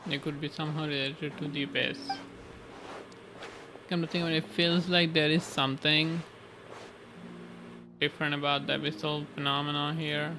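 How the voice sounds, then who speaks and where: A man's voice: fairly high pitch, flat delivery, normal speed, pauses often. A man playing a game on stream, casually reading dialogue aloud and commenting, sometimes stumbling over the words. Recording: noticeable background noise.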